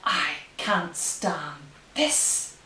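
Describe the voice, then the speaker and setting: Woman voicing a slow, exasperated, worn-out daddy bear. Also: low-pitched, expressive, pushed and bright, heavily acted. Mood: weary dismay. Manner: drawn-out, dismayed delivery. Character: weary, exasperated father bear in a picture book. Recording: roomy, distant, hissy home recording.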